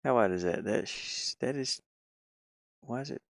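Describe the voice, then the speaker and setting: A man's voice: medium pitch, a little slow, creaky, no room sound, studio quality. A man talking himself through his work in a casual think-aloud commentary, muttering playfully. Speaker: game developer streaming his pixel art work.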